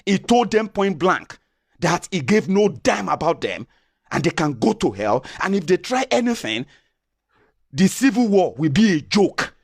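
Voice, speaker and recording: Nigerian guy w yoruba accent, husky voice kinda high, fired up, dry close sound. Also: big pitch swings, measured pace, dry recording. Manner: passionate, repetitive restarts. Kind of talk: opinion commentary.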